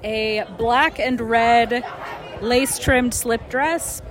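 Slightly hoarse playful woman fan. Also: a little high, steady tone, drawn-out words. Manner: playful, chatty. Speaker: concert fan. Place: outdoors outside a theater. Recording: noisy outdoor crowd.

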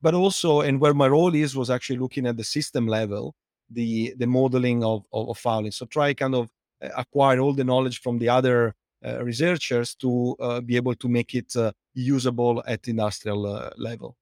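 A man's voice: mid-range voice, steady tone, a little fast, darkish tone, no echo, clean studio sound. A middle-aged man from Italy with an Italian accent, answering interview questions in a hesitant way with many fillers and restarts. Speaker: guest, fouling researcher and company co-founder.

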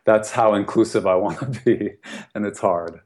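A man's voice: on the low side, moderate pace. An American man, a guest author, talking earnestly and thoughtfully in a podcast conversation, often laughing and saying "you know". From United States.

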